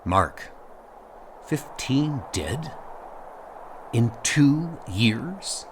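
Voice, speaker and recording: A man's voice: medium pitch, very animated, very slow, a little hiss or hum. A man voicing a caring, authoritative starship captain in a comic audio drama, speaking directly. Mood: grave, sympathetic.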